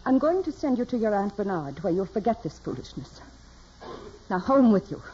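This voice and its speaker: A worried, stern peasant mother, with a very animated, mellow voice. She is emphatic and scolding, yet loving. The sound is a dull old radio drama recording. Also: mid-range voice, moderate pace, slightly rough. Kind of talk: 1940s radio drama acting. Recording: muffled old radio recording, music behind.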